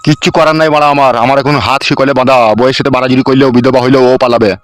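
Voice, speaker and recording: Man voicing a blunt, rapid-talking cartoon uncle. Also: medium pitch, fairly even intonation, natural voice. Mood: resigned. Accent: rural West Bengal Bengali. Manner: gruff, swearing, blunt. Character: blunt, crude, henpecked village uncle. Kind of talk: comedy cartoon voice acting.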